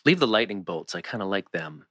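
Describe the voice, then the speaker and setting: A man playing an earnest, laconic, protective teenage boy in a drama table read, understated and halting. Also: on the low side, very animated, fairly bright tone, no room sound, studio quality. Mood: dry.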